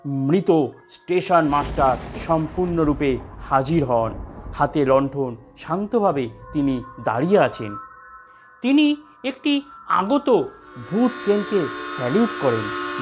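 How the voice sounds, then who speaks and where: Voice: mid-range voice, very animated, a little slow, over music. A narrator reading a scripted horror story.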